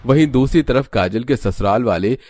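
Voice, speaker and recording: A man's voice: mid-range voice, expressive, normal speed, darkish tone, no room sound. A man narrating a scripted cartoon family story.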